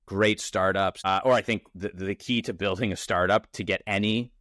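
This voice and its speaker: A man of thirty speaks in a low voice, casual and energetic. He thinks aloud as a founder on a podcast, restarting often. The sound is dry and close. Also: normal speed, no room sound. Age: 30s. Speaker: startup founder, podcast guest. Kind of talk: casual interview conversation. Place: Toronto.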